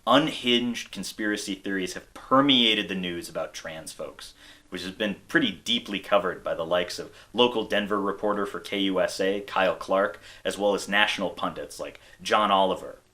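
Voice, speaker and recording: Bright-voiced American man narrating, lower pitch. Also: moderate pace. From United States. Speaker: video essay host. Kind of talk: scripted narration.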